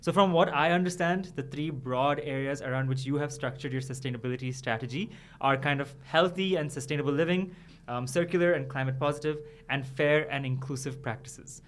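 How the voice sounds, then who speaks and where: A man's voice: medium pitch, fairly quick, fairly bright tone. A man hosting a casual interview in an informal, encouraging way. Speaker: interviewer, host.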